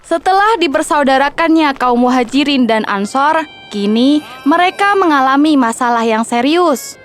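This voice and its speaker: Female narrator, high register, scripted kids' storytelling with background music. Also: expressive, normal speed.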